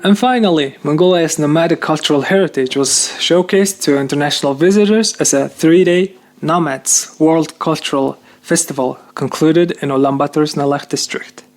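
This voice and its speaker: Guy reading the radio news over shortwave, careful and a bit stiff, gravelly voice. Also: a little high, normal speed, few pauses, darkish tone, soft background music. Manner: careful reading, some non-native slips in phrasing. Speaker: news presenter. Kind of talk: read news bulletin.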